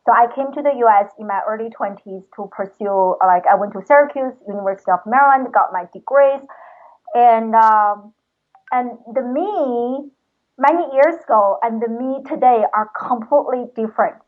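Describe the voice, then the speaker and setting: A woman's voice: a little high, normal speed. A woman, a guest talking earnestly and with passion. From China. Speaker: guest, educator. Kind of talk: casual interview talk. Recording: tinny phone line.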